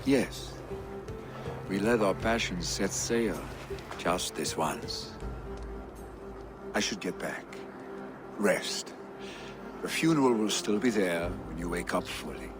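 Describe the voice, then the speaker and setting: An actor voicing an earnest, tender young Norse warrior, a jarl's grieving son, in a solemn, measured video game performance. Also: male voice, deep voice. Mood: subdued. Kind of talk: dramatic video game acting. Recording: music behind the voice.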